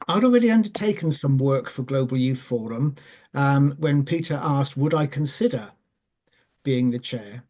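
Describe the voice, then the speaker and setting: Man, 60s, measured storyteller on a video call, voice sits a bit high. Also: a little mellow. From Wales. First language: Welsh. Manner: measured, with frequent ums and self-repeats. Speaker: forum guest speaker. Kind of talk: online forum talk, telling a personal story.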